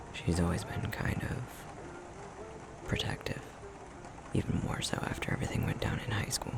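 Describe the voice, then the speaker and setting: A man playing a tender, self-conscious young fox-shifter boyfriend in an intimate ASMR roleplay, speaking in a soft, breathy, halting murmur. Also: low-pitched, background noise, full character voice. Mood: fond, soft. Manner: unhurried, halting, self-deprecating chuckly storytelling.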